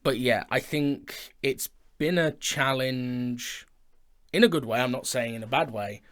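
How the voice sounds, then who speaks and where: A man's voice: mid-range voice, measured pace, a bit bright. A man co-hosting a casual conversation about productions, with a dry, joking manner. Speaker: audio drama maker, co-host.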